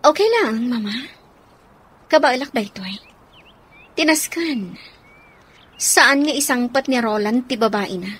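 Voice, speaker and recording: A woman with an Ilocano accent acting as a long-suffering betrayed wife and mother in a radio drama, her voice slightly husky. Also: fairly high pitch, lively intonation, measured pace, pauses often, fairly bright tone, exaggerated voice. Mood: hurt, resigned.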